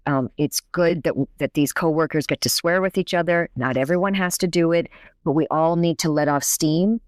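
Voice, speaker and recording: A woman's voice: low-pitched, moderate pace, no room sound, clean studio sound. A woman professor and native English speaker, explaining her research in a conversational podcast interview, thoughtful with frequent restarts.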